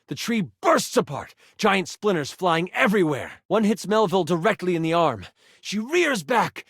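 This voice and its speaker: A man playing an eager game-master character, narrating a tabletop fantasy adventure with dramatic, expressive delivery. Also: high-pitched, no echo, studio quality, full character voice. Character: animated game-master character, eager storyteller, a living die.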